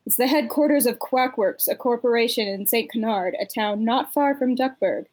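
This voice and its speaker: A woman narrates a comic aloud as part of a live amateur dub. Her voice sits a bit higher than average, and she reads the captions as a storyteller. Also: moderate pace, darkish tone.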